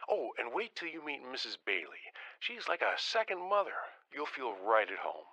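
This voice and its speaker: An actor playing a friendly, encouraging church elder on a telephone in an audio drama, folksy and upbeat. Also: male voice, mid-range voice, huge pitch swings, normal speed, sharp tone, dry recording, heavily acted, low-quality recording.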